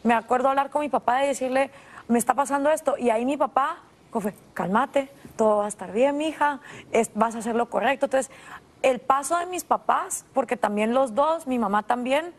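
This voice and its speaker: A high-pitched woman in her thirties speaks with a Guatemalan Spanish accent. She tells her stories with animated asides, acting out what others said. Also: brisk. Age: 30s. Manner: animated storytelling with voiced asides. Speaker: guest. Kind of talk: interview conversation.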